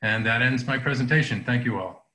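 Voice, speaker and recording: A man presents his favorite map over a video call, hesitant and full of fillers. His voice is bright and pushed forward, and the room behind him echoes. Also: mid-range voice, fairly quick. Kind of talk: online lecture. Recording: roomy echo on call audio.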